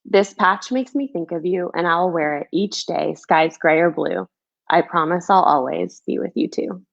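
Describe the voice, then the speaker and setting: A woman children's author with a fairly low voice. There is noticeable creak in it, and the recording sounds a little dull and lo-fi. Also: normal speed. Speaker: children's book author reading own book. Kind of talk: storybook read-aloud, rhyming, then casual chat.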